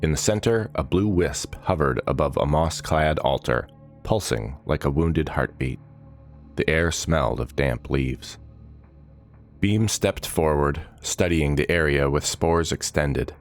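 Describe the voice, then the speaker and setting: A man's voice: very deep voice, a little slow, soft background music. A man reading a scripted fantasy story as its narrator.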